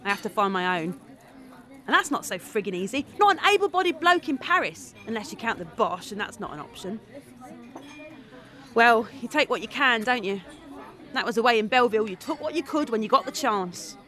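A woman's voice: a little high, animated, brisk, bright, forceful tone, some chatter behind. A woman playing a brash, tough young woman who narrates, blunt and coarse-tongued. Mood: wry. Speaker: narrator and character. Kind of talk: radio drama acting and narration.

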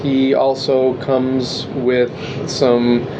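A man's voice: medium pitch, monotone, very slow, noisy background. A man informally introducing the character he plays in a tabletop game. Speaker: tabletop roleplaying player.

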